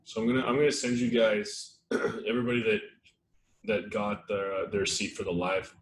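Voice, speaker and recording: A man hosting a live webinar in casual, rambling talk with lots of fillers, his voice a little strained and throaty as he clears his throat. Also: lowish voice, moderate pace. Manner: relaxed, rambling, many fillers and restarts. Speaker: webinar host. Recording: echoey room with faint noise.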